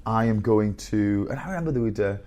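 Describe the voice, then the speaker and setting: A man around fifty, a headmaster telling blunt, animated stories in a candid interview. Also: on the low side, a little fast. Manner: blunt, animated storyteller with bursts of laughter.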